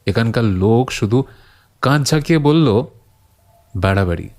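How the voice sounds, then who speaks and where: A man playing a stubborn, imaginative horror writer in an audio story, deliberate and argumentative, with dramatic pauses. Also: mid-range voice, expressive, a little mellow, faint music behind, lightly acted. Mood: brooding narration. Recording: clear background ambience noise.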